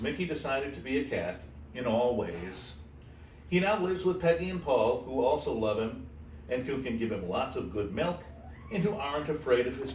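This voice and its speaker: A man reads a children's storybook in an expressive way, dropping in casual asides. His voice sits in the middle register, a little away from the mic in an echoey room with faint background noise. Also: mid-range voice, distant mic. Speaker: host reading a children's book aloud. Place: animal shelter room with kittens.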